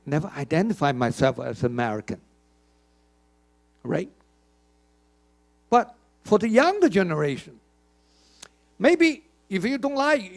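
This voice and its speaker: An older man giving a conference keynote, emphatic, asking the audience questions and often repeating words. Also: fairly high pitch. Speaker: keynote speaker. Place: conference hall.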